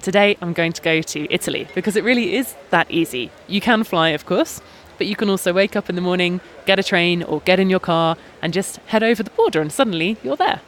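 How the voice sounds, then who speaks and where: A British man hosting a podcast outdoors, talking in a measured, articulate way with small hesitations. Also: sits high, fairly bright tone, slight background noise. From Britain. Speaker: podcast host and journalist. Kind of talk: casual podcast talk. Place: outdoors in a busy town square.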